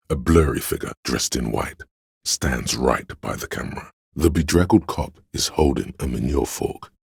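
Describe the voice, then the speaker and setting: A man playing a solemn inner voice that narrates a police video game in a measured, literary way, deep and gravelly. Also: no echo, clean studio sound, exaggerated voice. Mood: grave, ominous. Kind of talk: literary second-person scripted narration.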